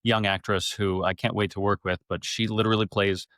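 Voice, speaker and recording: A man with a fairly low voice talks casually as an interview guest. He tells things in an animated, effusive way. The sound is dry, with very little room in it. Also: brisk, dry recording. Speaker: interview guest, theater actor and radio-theater adapter.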